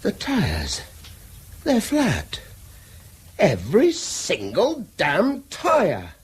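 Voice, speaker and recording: A British schoolteacher in a radio play, educated and wry. His husky voice moves widely in pitch, with a slightly hesitant, self-deprecating manner. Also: wildly swooping pitch, extremely slow, heavily acted. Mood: exasperated, incredulous. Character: kindly, self-deprecating male schoolteacher, easily flustered. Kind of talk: naturalistic radio drama acting.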